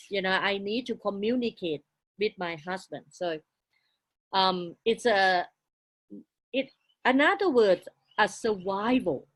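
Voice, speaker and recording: A woman in her forties, English not her first language, telling her life story as a guest in halting, broken English with frequent restarts. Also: mid-range voice, fairly bright tone, low-quality recording. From outside Australia. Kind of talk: casual interview, life story.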